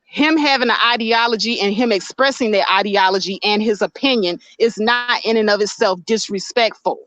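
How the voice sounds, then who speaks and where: A woman's voice: medium pitch, moderate pace, a bit bright, no room sound. A woman hosting a livestream with a powerful voice, arguing her point bluntly and answering her chat. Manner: blunt and argumentative, reading chat aloud. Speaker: livestream host. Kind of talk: casual livestream commentary.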